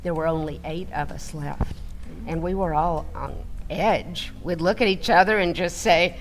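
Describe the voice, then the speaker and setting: A woman's voice: fairly low pitch, a little slow, faint music behind. A woman from the United States telling a personal story in a dramatic first-person style. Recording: long hall-like reverb.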